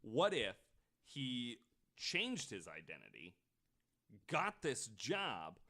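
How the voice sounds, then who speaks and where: A man's voice: mid-range voice, wildly swooping pitch, slow, pushed and bright. A man podcast host telling a story in a casual, informal way with some swearing. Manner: informal, profane.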